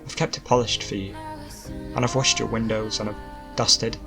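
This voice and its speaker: Man acting emotional, halting young manservant, low-voiced. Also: fairly even intonation, creaky, music in the background. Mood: weary, apologetic. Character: weary, tearful, devoted young manservant, apologetic. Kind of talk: audiobook character voice.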